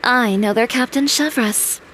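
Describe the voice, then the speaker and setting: A woman playing a guarded, confident young fashion designer in a video game, speaking in a brisk, matter-of-fact way. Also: on the high side, fairly bright tone, slight background noise.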